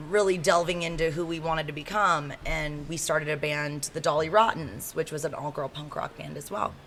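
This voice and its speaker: Guest: frank, chatty woman in her thirties from Seattle, deeper voice, slight background hiss. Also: a bit bright. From Seattle, USA. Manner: chatty, frank, frequent ums and you-knows. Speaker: country singer-songwriter guest. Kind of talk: casual interview storytelling.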